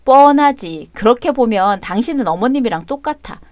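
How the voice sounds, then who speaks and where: Woman playing a cool sharp-tongued mom, high voice, blunt and mocking. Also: lively intonation, moderate pace, no room sound, clean studio sound, lightly acted.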